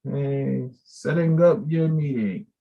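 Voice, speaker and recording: A man's voice: mid-range voice, slow, gravelly, highs cut off. A man hosting an interview show, effusive and chatty as he welcomes his guest. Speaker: host.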